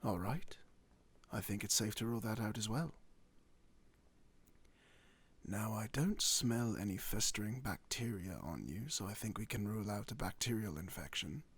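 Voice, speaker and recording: A gentlemanly werewolf physician, played by a man, speaks in a husky, fairly low voice with lively rises and falls. He is formal and gently reassuring, choosing old-fashioned words in the manner of a fantasy ASMR roleplay. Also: moderate pace. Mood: attentive, examining. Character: courteous male werewolf doctor in a fantasy world.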